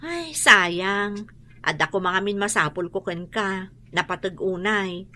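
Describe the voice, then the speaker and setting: A woman's voice: medium pitch, takes it slowly, pushed and bright, a little hiss or hum. An actress playing a vain, boastful young mother in an Ilocano radio drama. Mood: eager. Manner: boastful, haughty.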